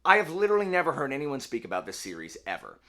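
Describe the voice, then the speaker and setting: A man hosting a casual book video, chatty and rambling, often correcting himself, his voice a little hoarse. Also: mid-range voice, wildly swooping pitch, rapid-fire, sharp tone. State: slightly hoarse. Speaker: book channel host.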